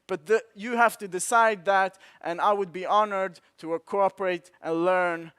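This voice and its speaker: A young man with a Swedish accent delivers a passionate keynote in a high, bright voice. His tone is smooth and clear, and he drives his points home with repeated rhetorical questions. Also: fairly even intonation, measured pace. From Sweden. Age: 20s. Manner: impassioned, rhetorical repetition. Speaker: conference speaker. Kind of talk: motivational keynote speech. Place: conference hall.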